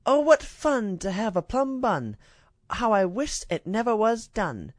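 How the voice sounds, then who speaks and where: A tiny ragged girl chirps in lisping baby talk, squeaky high and full of bounce, as a man reads her out. She is hungry, merry and cheeky, a storybook urchin. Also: very animated, a little slow, dry recording, clean studio sound. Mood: chirpy childish.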